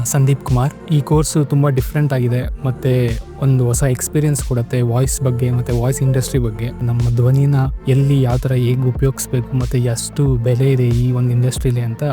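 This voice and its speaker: A man giving a spoken testimonial as a course participant. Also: mid-range voice. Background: music.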